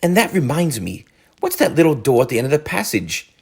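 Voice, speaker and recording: A brisk, chummy storybook rat, played by a man, pitched higher than a usual male voice. He is hearty and upbeat, always urging his friend along. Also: very animated, gravelly, husky, full character voice. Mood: jovial. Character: cheery, brisk, encouraging male water rat.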